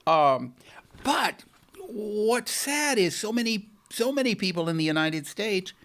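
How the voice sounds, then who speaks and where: A man's voice: sits high, very animated, a little slow, fairly bright tone. An older man hosting a talk show interview from a TV studio, friendly and conversational. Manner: friendly, conversational, leading questions. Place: TV studio set. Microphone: studio boom mic.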